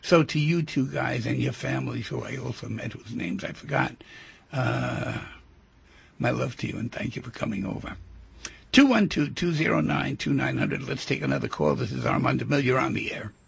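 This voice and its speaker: Gravelly radio host with a lively voice. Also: male voice, mid-range voice, normal speed, dull audio. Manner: gentle, probing questions to callers. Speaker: radio talk show host. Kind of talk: call-in radio show.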